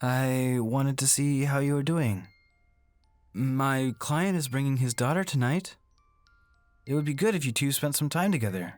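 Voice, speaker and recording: A woman playing a gentle, earnest father in a horror radio drama. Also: a bass voice, measured pace, dry recording, faint music behind. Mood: gentle coaxing. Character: gentle, protective father pleading with his daughter.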